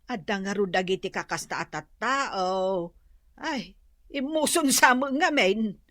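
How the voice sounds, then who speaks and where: A woman's voice: medium pitch, animated, measured pace, fairly bright tone, dry recording, clean studio sound. A woman with an Ilocano accent playing a patient, motherly adviser in a radio drama. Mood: patient, resigned. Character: patient, motherly woman giving moral advice to daughter.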